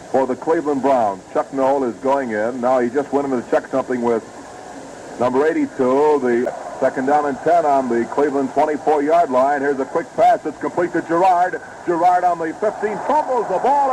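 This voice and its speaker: A man's voice: a little high, moderate pace. An American man calling football play-by-play on 1950s radio in a formal, detailed and dramatic manner, on a noisy vintage broadcast recording. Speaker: sports radio play-by-play announcer.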